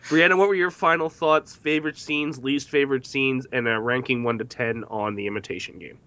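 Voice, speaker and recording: A man's voice: medium pitch, fairly even intonation, fairly quick, bright, forceful tone, smooth, no echo, lo-fi sound. A man hosting a podcast panel discussion in a casual style, addressing guests and listeners. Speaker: podcast host.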